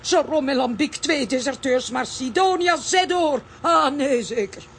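A feisty, dramatic aunt, high-pitched and commanding. The theatrical children's radio-play delivery has faint noise behind it. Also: female voice, measured pace, fairly bright tone. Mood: dramatic, defiant.

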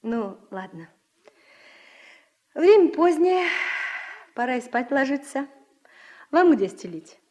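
High-voiced folksy village widow. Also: female voice, very animated, takes it slowly, long pauses, dark tone, heavily acted. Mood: gentle, curious. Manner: folksy rural speech, plain-spoken. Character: village widow of thirty, simple, hospitable yet firmly proper. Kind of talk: Soviet radio drama acting.

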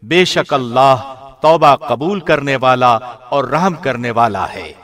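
A man reading a scripture translation in a measured, formal broadcast manner. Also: mid-range voice, fairly bright tone. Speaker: translation reader, radio host.

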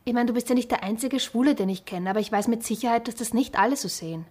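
Husky, inquisitive woman. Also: fairly high pitch, fast. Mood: challenging. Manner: inquisitive, gently challenging. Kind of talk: conversational radio drama.

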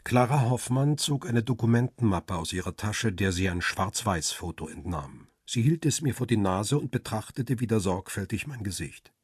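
An actor playing a paparazzo who narrates his own story in a radio crime play, measured, observant and descriptive. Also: lowish voice, lively intonation, slightly rough, no room sound, studio quality. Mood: detached, precise. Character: world-weary male paparazzo recounting his story, coolly observant. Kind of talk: scripted first-person radio drama narration.

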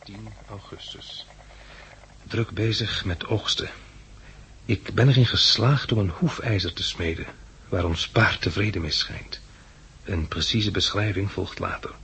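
An actor playing a weary, practical farmer and survivor in a 1960s Dutch radio drama, with a husky, rough-edged voice. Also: male voice, on the low side, unhurried, fairly bright tone, exaggerated voice. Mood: sober, reflective.

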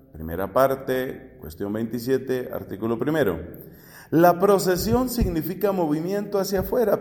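A man's voice: on the high side, warm tone. A Colombian man in his fifties, a Dominican friar teaching a theology class, explaining step by step with questions and everyday examples. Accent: Colombian Spanish. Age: 50s. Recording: echoey hall with long reverb.

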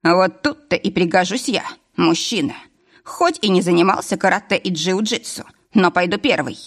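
A woman's voice: lowish voice, normal speed, lightly acted. A woman voicing a big, bold, jokey man in an audiobook, with a bantering, boastful manner.

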